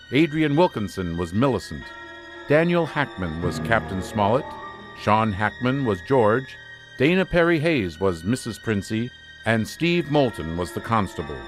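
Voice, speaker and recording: Male radio drama announcer, lively delivery. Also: mid-range voice. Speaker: announcer and host. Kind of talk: scripted radio drama sign-off.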